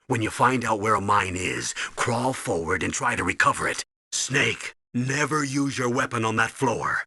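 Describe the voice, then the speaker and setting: An actor playing a stern older military commander, giving authoritative, urgent briefings in a video game with a husky voice. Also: male voice, lowish voice, pushed and bright, dry recording, studio quality, exaggerated voice. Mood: cautioning. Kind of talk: video game voice acting, tutorial instructions.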